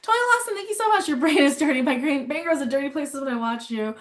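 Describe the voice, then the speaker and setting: A young adult woman chats on a livestream as she cooks, her voice a touch higher than most. She talks bluntly, swears freely and rambles through restarts, and she sounds a little sniffly. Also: animated, fast. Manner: reads chat names aloud and answers them. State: a bit sniffly. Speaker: livestream host. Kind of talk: casual livestream chat while cooking.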